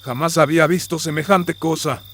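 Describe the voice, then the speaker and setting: A man's voice: a little high, fairly even intonation, moderate pace, a bit bright, a little hiss or hum, voice pushed up, close to an everyday voice. A man playing a frightened, haunted friar in a horror radio drama, with a dramatic, fearful delivery. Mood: appalled.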